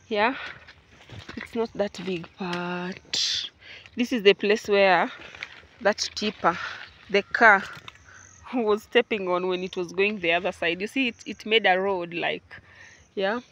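A Kenyan woman talks casually to her viewers while out on her rural farm, calling them family and guys. Her voice sits at a middle pitch and is picked up on a phone outdoors, with a faint hiss underneath. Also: sharp tone. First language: Luo. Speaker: vlogger. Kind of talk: casual vlog chat to viewers.

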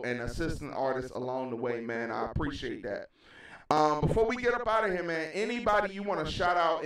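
Echoey American man hosting a show. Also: on the high side. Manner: emphatic and repetitive, peppering talk with "man". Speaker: radio show host and DJ. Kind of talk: casual hype interview hosting. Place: small indoor room, video call. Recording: very echoey, distant from mic.

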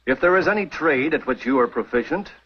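A stern wagon train elder who hands out orders in formal speech, his voice husky with a lively pitch. The sound is a dull, old television soundtrack. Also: a little fast. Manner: commanding, formal.

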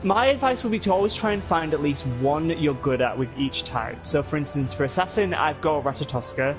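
A young man streaming a video game and chatting casually with a co-player, joking and bursting into loud shouts. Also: fairly high pitch, fairly quick. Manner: excitable, jokey banter with sudden loud outbursts. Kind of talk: casual gaming chat. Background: game music.

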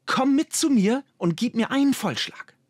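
A man's voice: medium pitch, expressive, brisk, husky, dry recording, clean studio sound, pitched above the performer's own voice. A man voicing an anxious, urgent male herald in audiobook dialogue, speaking insistently. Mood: urgent pleading.

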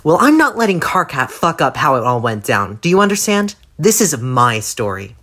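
Casting: woman to play vindictive young female alien troll, boastful and emphatic, very animated delivery. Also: sits low, moderate pace, full character voice. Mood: angry, defiant. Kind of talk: scripted character monologue in audio drama.

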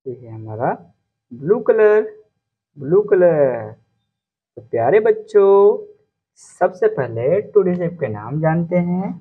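A man's voice comes through a thin, phone-like recording. He speaks very slowly, with a husky tone, as he teaches small children. He repeats names and addresses the children directly. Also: a little high, sing-song. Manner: repetitive, instructional, addressing children. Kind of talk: simple lesson for young children, repeating names. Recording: muffled, narrow phone-like sound.

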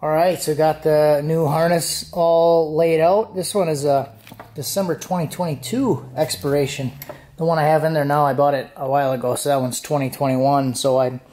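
A man's voice: fairly high pitch, fairly even intonation, normal speed, darkish tone. A man in his thirties talking casually to the camera in a home garage, explaining things informally as a car-build vlogger. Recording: roomy, echoing garage sound.

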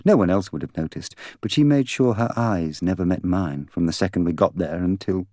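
Man voicing a bitter, intense young woman, low-pitched. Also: fairly quick, a little mellow, no echo, natural voice. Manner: candid, articulate, intense. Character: proud, bitter young woman confessing to killing her fiancé. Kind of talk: audiobook character dialogue.

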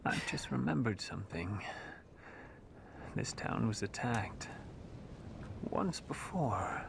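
An actor playing a brooding, enigmatic young man in a video game cutscene, speaking in a measured, solemn, breathy way. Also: on the low side, expressive, in a whisper, exaggerated voice. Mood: haunted, reflective. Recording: noisy game cutscene audio.

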